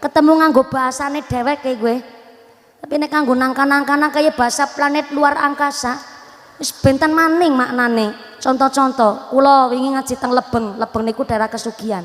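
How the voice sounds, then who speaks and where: High-voiced woman preacher with a Banyumas accent. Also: fairly even intonation, normal speed, big-room sound. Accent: Banyumas Javanese. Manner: joking, teasing the crowd, switching between Javanese and Indonesian. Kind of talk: lively comic Islamic sermon.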